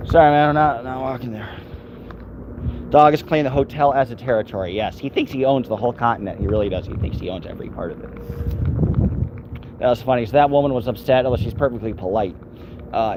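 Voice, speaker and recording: A man rambles casually while walking his dog down city streets, talking to his live viewers and his dog as he goes. His voice sits at an average pitch, picked up on a handheld phone, with faint traffic noise in the background. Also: lo-fi sound. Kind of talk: casual live-stream chat.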